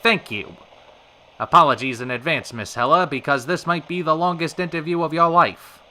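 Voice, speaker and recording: A man's voice: fairly high pitch, moderate pace, sharp tone, a little hiss or hum. A man playing a showy 1930s radio show host with a theatrical announcer delivery. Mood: showy, polished. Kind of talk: 1930s radio broadcast acting.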